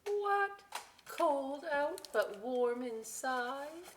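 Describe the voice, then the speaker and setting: Slow-paced woman reading a children's story. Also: high voice, slight background noise. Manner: expressive, drawn-out reading with conversational commentary. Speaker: library story time reader. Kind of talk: read-aloud to children with chatty asides.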